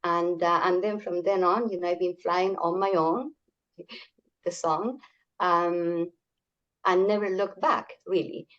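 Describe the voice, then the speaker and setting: An older woman from Chile, whose first language is Spanish, leads a community talk and pauses often on "uh" and "um" as she gathers her thoughts. Her voice sits fairly low. It comes through dull, muffled call audio. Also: a bit bright. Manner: halting, many fillers and restarts. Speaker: workshop speaker.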